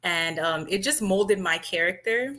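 Female interview guest from Miami, bright crisp-edged tone, composed, conversational explainer. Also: lowish voice, a little slow. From Miami, Florida. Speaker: podcast guest, nurse and business owner.

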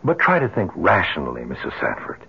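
An actor in an old radio drama playing a rational, skeptical doctor, measured and gently condescending. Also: male voice, very deep voice, huge pitch swings, gravelly, slightly rough, muffled recording, heavily acted. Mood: skeptical, soothing.

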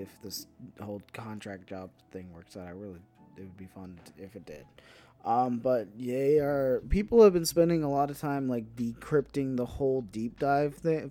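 A man's voice: mid-range voice, dark tone. A man co-hosting a gaming podcast in casual chat, talking in a hesitant, rambling way and often repeating words. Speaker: co-host of a gaming news podcast.

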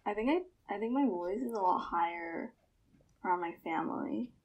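Husky-voiced teenage girl. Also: mid-range voice, animated, normal speed, muffled recording. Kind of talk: casual chat among friends.

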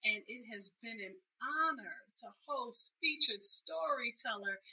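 A woman's voice: fairly high pitch, lively intonation, measured pace, sharp tone, slightly rough, rough recording. A woman hosting a storytelling podcast and addressing guests and listeners. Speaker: podcast host.

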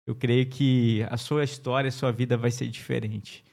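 A man's voice: medium pitch, fairly even intonation, moderate pace, gravelly, a little mellow. A man hosting an interview, asking a pastor questions in a chatty, enthusiastic way. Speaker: interview host. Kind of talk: casual interview conversation.

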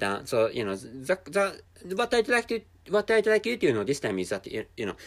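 A man's voice: mid-range voice, a bit bright. A man with a Japanese accent, a native Japanese speaker, talking alone on his own podcast and repeating words as he searches for them. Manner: halting, many restarts and repeated words. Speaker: podcast host. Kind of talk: casual solo podcast monologue. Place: at home, drinking a canned drink while recording.

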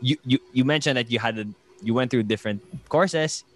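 A man in his twenties with a Filipino accent, hosting a podcast in a relaxed, casual way with frequent laughs. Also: mid-range voice, brisk. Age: 20s. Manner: relaxed, laughing, soft asides. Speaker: podcast host. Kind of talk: casual interview chat.

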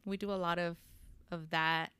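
A woman's voice: steady tone, moderate pace, a bit bright, smooth, no echo. A woman who works as a clinical social worker, chatting casually and switching between English and Spanish. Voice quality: says she has a very deep voice. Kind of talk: casual conversational podcast chat.

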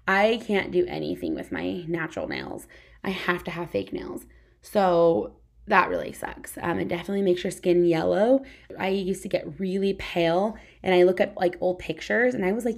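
A young woman speaks in a scratchy, gravelly voice that sits a little low. She talks to camera in a frank, conversational way, leaning on "like" as a filler. The delivery is candid and unpolished, like an everyday vlog. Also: darkish tone. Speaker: vlogger. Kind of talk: candid personal talk to camera.

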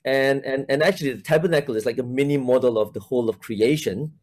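Male guest, 40s, from Malaysia; mellow, hesitant church minister, heavy on fillers, over a video call. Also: medium pitch, fast talker. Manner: hesitant, many fillers and restarts. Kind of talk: casual interview talk.

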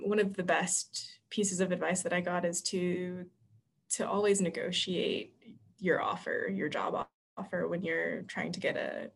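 Woman w a lowish gravelly voice, earnest advice on a podcast call. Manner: earnest, advice-giving, restarts words. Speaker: admissions staff guest. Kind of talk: casual podcast conversation.

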